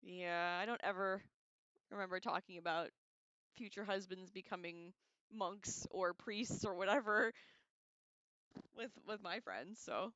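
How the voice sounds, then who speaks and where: A woman's voice: mid-range voice, steady tone, unhurried, pauses often, fairly bright tone, clear, resonant tone, no echo. A woman hosting a casual, rambling livestream discussion with an irreverent, slangy manner, on a slightly dull microphone. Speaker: livestream host.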